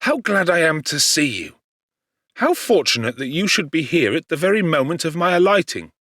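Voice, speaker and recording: A man voicing a cheerful, warm young gentleman friend in an audiobook, eager and exclamatory in formal old-fashioned speech. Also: on the high side, very animated, normal speed, dry recording, clean studio sound, voice pushed up. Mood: delighted. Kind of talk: audiobook character dialogue.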